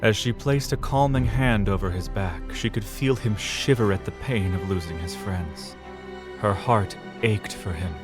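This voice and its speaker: A man with a low voice narrates a fantasy audio drama. He reads in a measured, dramatic storytelling manner. A loud music score runs beneath his narration.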